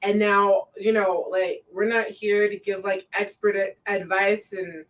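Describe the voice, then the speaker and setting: A woman co-hosting a casual live stream, relaxed and loose with plenty of fillers, reading out viewer comments. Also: measured pace, far from the mic. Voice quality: says her own voice sounds deep to herself.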